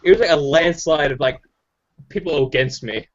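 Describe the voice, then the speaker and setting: A man's voice: on the high side, normal speed. A man in a casual group voice chat, boastful and swearing, laughing at his own stories. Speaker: chat participant. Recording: dull lo-fi voice chat.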